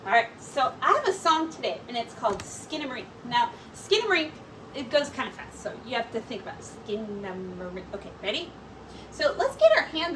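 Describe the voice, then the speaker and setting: A woman teacher reading a storybook aloud to children in an expressive, deliberate way with drawn-out words. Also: fairly high pitch. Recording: distant mic with clear background noise.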